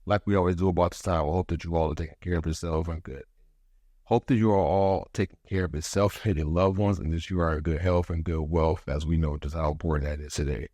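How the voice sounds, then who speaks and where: A man in his thirties hosting a solo podcast, talking reflectively with pauses, his voice a bit congested from allergies. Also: low voice, fairly even intonation, a little mellow, dry recording. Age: 30s. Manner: reflective, pauses to gather thoughts. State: congested from allergies, voice deeper than usual. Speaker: podcast host. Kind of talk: casual solo podcast monologue.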